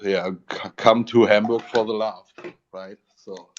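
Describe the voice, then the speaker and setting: A man with a German accent, a guest in an online audio room, talking haltingly as he searches for English words. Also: lowish voice, level tone. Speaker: guest, project founder. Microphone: online voice call. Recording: muffled online voice call.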